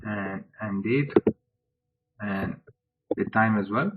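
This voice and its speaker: Low-pitched man narrating a noisy screencast. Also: takes it slowly, phone-quality sound. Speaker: software tutorial presenter. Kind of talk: informal step-by-step screencast tutorial narration.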